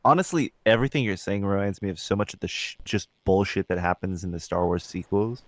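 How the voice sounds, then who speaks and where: A man's voice: low-pitched, brisk, no echo. A man co-hosting a podcast, chatting informally and reacting with sardonic remarks. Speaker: podcast co-host. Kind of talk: casual chat, reacting to co-host.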